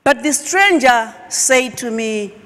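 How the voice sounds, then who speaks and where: A middle-aged woman from Zimbabwe, a Shona speaker, telling her personal story as a keynote speaker with emphatic pauses. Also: on the high side, lively intonation, a bit bright. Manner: slow, emphatic, dramatic pauses. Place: large auditorium stage. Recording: long hall reverb.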